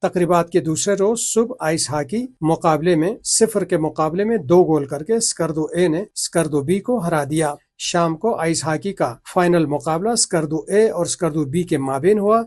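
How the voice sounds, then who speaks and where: A male news reporter speaks in a somewhat high-pitched voice. He delivers his report in the manner of a field correspondent narrating a local story. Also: a little mellow. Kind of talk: scripted news report narration with on-location sign-off.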